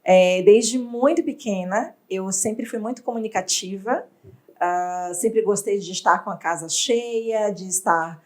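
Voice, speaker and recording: Woman guest, measured and expressive, mid-pitched. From Bahia, Brazil. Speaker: entrepreneur guest. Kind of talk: interview storytelling.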